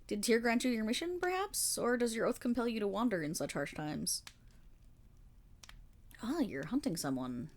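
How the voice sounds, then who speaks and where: A woman playing a kindly, weary and remorseful paladin in a fantasy roleplay audio, speaking formally in measured, gentle storytelling with dramatic pauses. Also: fairly high pitch, sing-song. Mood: friendly, curious. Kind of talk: fantasy roleplay audio monologue addressed to the listener.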